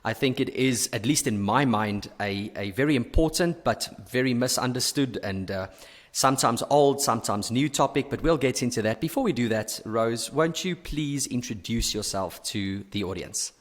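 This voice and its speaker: A man's voice: medium pitch, animated, normal speed, big-room sound. A man hosting a podcast who talks in an upbeat, effusive way, with hesitant restarts. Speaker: podcast host. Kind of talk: podcast interview.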